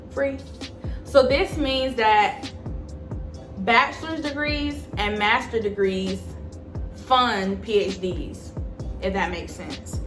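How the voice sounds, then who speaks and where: A woman's voice: mid-range voice, reverberant. A woman in her twenties giving casual, emphatic advice to her viewers. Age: 20s. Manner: chatty, emphatic, addresses viewers as y'all. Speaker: vlogger. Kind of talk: casual advice chat to camera.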